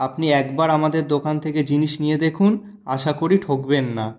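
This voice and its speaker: Man acting an upright village shopkeeper, earnest, courteous, slightly high pitch, slightly muffled lo-fi audio. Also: flat delivery, darkish tone, close to an everyday voice. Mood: courteous, assuring. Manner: earnest, courteous, measured assurances. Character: honest, principled village grocer and loyal friend. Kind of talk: Bengali moral-story cartoon dubbing.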